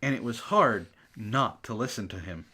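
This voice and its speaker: A book club host with a bright voice and lively pitch. The delivery is exasperated and profane, slipping from casual banter into mock-dramatic reading aloud. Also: normal speed, slightly rough.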